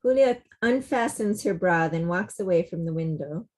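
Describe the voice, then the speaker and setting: A woman's voice: fairly low pitch, expressive, moderate pace, a little mellow. A woman reading scripted stage directions as narrator of a table read over a video call.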